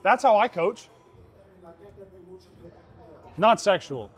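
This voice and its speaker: A man's voice: sits high, animated, moderate pace, husky, soft background music. A young man insistently explaining and bantering while helping set up equipment in a large indoor gym, picked up by a nearby camera. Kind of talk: casual chat while assembling gym equipment.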